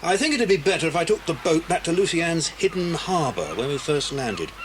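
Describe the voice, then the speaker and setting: A calm, protective family friend in a children's cassette drama. His voice is fairly high for a man and moves with lively intonation. He sounds avuncular and reassuring, over clear background noise. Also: brisk. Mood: serious, concerned. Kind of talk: children's audio drama acting. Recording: noisy old cassette dramatisation.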